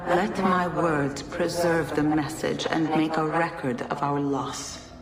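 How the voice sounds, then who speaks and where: A woman voicing an ancient godlike being in a game cutscene, speaking in solemn, measured, formal oration. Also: low-pitched, fairly even intonation, over a noisy crowd. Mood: solemn, mournful. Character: ancient godlike female being of a dying precursor race, solemn. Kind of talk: dramatic game cutscene monologue. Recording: echoey, distant voice under loud music.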